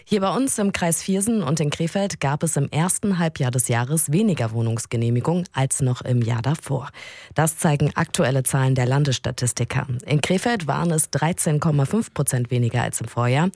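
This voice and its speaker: Hoarse woman reading radio news. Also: deep voice, dry recording, studio quality. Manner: measured, even news delivery. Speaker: newsreader. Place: radio studio.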